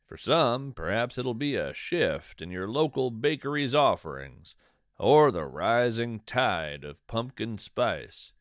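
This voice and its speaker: A man's voice: mid-range voice, big pitch swings, takes it slowly, dry recording, studio quality. A man narrating cozy folklore fiction in the first person as a podcast host, musing in descriptive, literary phrases with conversational asides.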